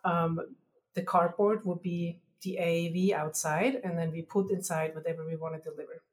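A woman's voice: on the low side, steady tone, normal speed. A woman from Switzerland with a Swiss German accent, explaining science as an interview guest in careful, sometimes hesitant phrasing.

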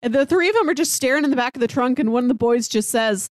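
A woman's voice: high voice, expressive, quick pace, no room sound. Female podcast co-host, thirties, casual conversational delivery, very dry room sound. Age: 30s. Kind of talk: casual TV discussion chat.

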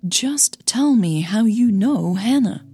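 A woman's voice: fairly high pitch, expressive, takes it slowly, dark tone, husky, soft background music, pitched above the performer's own voice, full character voice. A woman playing an upset, angry young woman who blurts and demands answers in an audio drama. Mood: fed up. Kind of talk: audio fiction dialogue.

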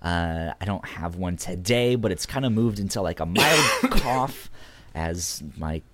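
A man hosting a podcast in an easygoing casual chat, his voice raspy from being sick. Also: sits low, expressive, measured pace, noisy background. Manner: easygoing, short reactions and laughs. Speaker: podcast host.

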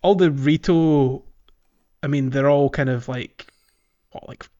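A man's voice: mid-range voice, slow pace, mellow. Man chatting casually, slightly muffled. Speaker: podcast co-host. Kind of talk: casual chat about a video game.

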